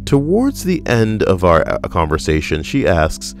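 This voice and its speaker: A man co-hosting a casual podcast, reading out strangers' stories with wry, deadpan, rambling asides. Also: low voice, measured pace, soft background music. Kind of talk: casual joking commentary.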